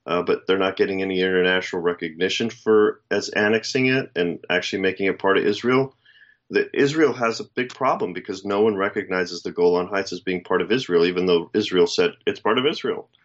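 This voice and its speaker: An American man giving casual, opinionated political commentary as a co-host, speaking deliberately with rhetorical questions, on slightly dull audio. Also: deep voice. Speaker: talk show co-host.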